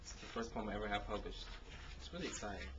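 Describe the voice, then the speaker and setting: A man's voice: lowish voice, fast. An American man giving a spoken word poetry reading with casual introductions, phrasing his words expressively and with emphasis. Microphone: camcorder microphone. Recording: dull, noisy consumer camcorder sound.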